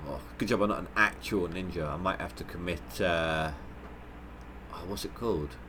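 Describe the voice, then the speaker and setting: A man's voice: sits low, normal speed, noisy background. A man with a head cold, sounding nasal, giving rambling, stop-start commentary on his gameplay livestream. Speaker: gaming livestreamer.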